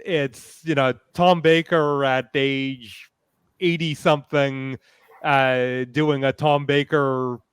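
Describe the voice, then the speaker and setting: A man speaking as a panelist in casual discussion, halting as he thinks aloud. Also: mid-range voice, fairly even intonation, clean tone, no echo, clean studio sound.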